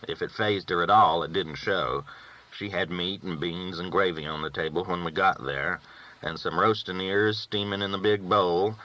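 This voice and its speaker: A man's voice: sits low, fairly even intonation, moderate pace, sharp tone. A man reading a novel aloud as a first-person storyteller, with folksy rural phrasing, on a dull recording. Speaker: audiobook reader.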